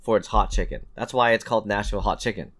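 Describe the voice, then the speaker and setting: Guy with a lowish voice, podcast host telling stories, casual and animated. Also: quick pace. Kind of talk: casual chat, storytelling.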